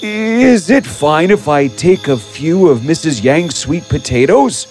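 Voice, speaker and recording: A man's voice: fairly high pitch, expressive, takes it slowly, a little mellow, faint music behind, voice pushed up. A man voicing a kind, timid, troubled schoolteacher in a storybook tale, hesitant and earnest. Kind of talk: animated storybook character acting.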